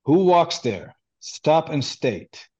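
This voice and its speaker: A man's voice: on the high side, sing-song, takes it slowly, darkish tone, slightly rough, muffled recording, voice pushed up, full character voice. A man reading as a stern wartime sentry. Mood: challenging. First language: Hebrew. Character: stern wartime sentry guarding a post, male.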